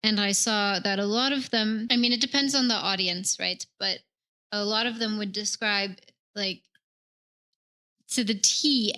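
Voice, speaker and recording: A woman's voice: mid-range voice, pushed and bright. A young woman, a native English speaker, hosting a casual interview in a chatty, reflective way full of "like" and "you know". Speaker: interviewer host.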